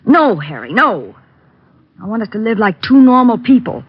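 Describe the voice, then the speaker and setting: A woman's voice: fairly high pitch, big pitch swings, normal speed, slightly rough. A woman playing a scheming, impatient secretary and mistress, hard and coaxing, in an old-time radio drama. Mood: impatient, demanding. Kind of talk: 1940s radio drama acting. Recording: dull old radio recording.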